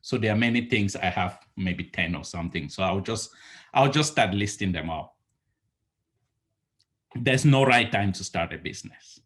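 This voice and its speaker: A man's voice: on the low side, moderate pace, fairly bright tone. A man in his thirties from Cameroon, giving earnest, rambling advice on a home video call and restarting his sentences often. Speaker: entrepreneur guest. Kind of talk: casual video call interview. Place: home living room, indoors. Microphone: laptop webcam on a video call.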